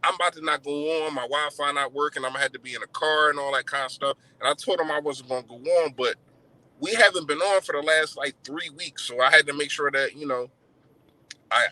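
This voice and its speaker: A man co-hosting a live sports podcast over a video call, loud and joking. His voice is very bright and cutting, a little high for a man. The sound is dry and close. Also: dry recording, poor audio. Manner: loud, joking, animated outbursts. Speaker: podcast co-host. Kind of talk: casual live-stream chat. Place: in a car. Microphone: computer mic on a live video call.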